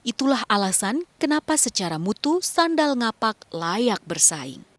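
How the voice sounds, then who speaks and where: A woman reporter giving scripted narration for a local news feature in a measured, even reading. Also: on the high side, dry recording.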